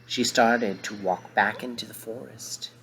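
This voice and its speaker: A man reads a children's picture book aloud at an unhurried, slow pace, with a fairly low voice. He pauses for gentle asides that explain things to young listeners. A faint hum of background noise runs under the reading. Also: fairly even intonation, rough recording. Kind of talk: read-aloud story with asides.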